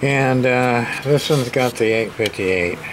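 Guy doing a repair demo, talks real slow, mutters to himself, medium pitch, noticeable noise behind him. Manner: hesitant, halting, muttering to himself. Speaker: hobbyist radio repair presenter. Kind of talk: casual hands-on demonstration, thinking aloud. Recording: clear background room noise.

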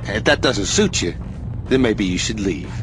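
An actor playing a sly, smug outlaw racer in a video game, goading with mocking theatrical pauses. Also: male voice, on the low side, very animated. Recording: music behind the dialogue.

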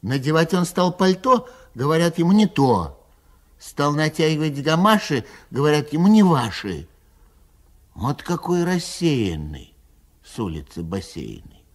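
A man reading a children's rhyming poem aloud in a sing-song, lively way. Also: on the high side, measured pace. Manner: lively, sing-song delivery with a recurring refrain. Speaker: narrator.

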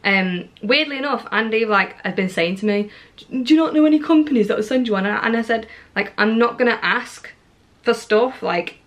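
A woman's voice: on the high side, fairly quick. A young woman in her twenties, talking to camera at home in a casual, rambling vlog, full of likes and ums. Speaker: vlogger. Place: living room at home, indoors.

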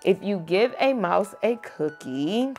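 A young woman reading a picture book aloud to a child at home, gentle and expressive. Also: mid-range voice, slow pace, faint music behind. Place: home bedroom, indoors.

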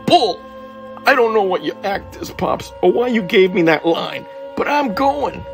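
A man playing a hunted young crook, frantic and talking tough in rough street slang. The voice sits close to the reader's own, with soft music underneath. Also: sits high, sing-song, a little slow, heavily acted. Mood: threatening. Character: desperate young male fugitive on the run.

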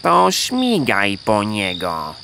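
A woman's voice: rumbling low, wildly swooping pitch, drawn-out words, fairly bright tone, full character voice. An actor playing a proud, scheming male gander in a children's audio play, condescending and full of honking interjections. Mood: smug, manipulative. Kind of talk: children's audio drama acting. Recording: clear background noise of night insects.

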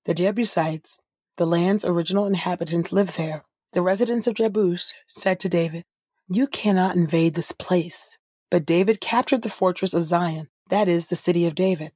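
Female reader for audio Bible: lowish, husky voice, measured delivery, dry close sound. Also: mellow, no echo. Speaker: podcast host, scripture reader. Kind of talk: scripted audio Bible reading.

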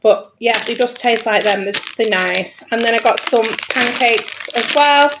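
A woman's voice: fairly high pitch, unhurried. A British woman chatting casually and informally as a family vlogger, often repeating words for emphasis, recorded indoors at home. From Britain. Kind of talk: casual grocery haul chat to camera. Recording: clear background noise, packaging crinkling. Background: crinkling packets, clicks, handling noise.

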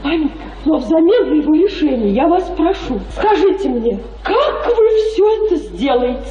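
Young provincial daughter in radio drama: soft-toned, proud, bitterly resolute, formal and emphatic. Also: female voice, high voice, big pitch swings, moderate pace, roomy sound, dull audio. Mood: bitter resolve. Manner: declamatory, emphatic, tensely formal.

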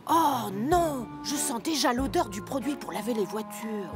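A woman's voice: fairly high pitch, expressive, measured pace. A woman voicing a mischievous young boy in a French cartoon dub, cheeky and teasing. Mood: whiny. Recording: studio voice with cartoon music underneath.

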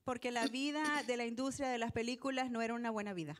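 Higher-voiced woman addressing a congregation. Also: quick pace. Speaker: church host and consecutive interpreter. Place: church stage.

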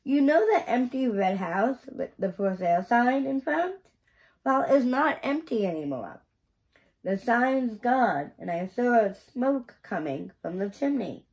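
An eager, friendly little storybook girl, read by a woman. The voice is a bit high and very slow, with earnest, careful delivery. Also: very animated, a little mellow, full character voice, rough recording. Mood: curious.

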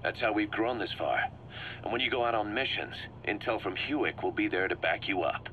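A man's voice: lowish voice, a little fast, natural voice. A man playing a driven, shrewd mercenary commander in a video game, briefing his boss in an emphatic, assured way. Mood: grim. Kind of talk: scripted video game dialogue, explaining plans. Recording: muffled cassette-like sound with background hum.

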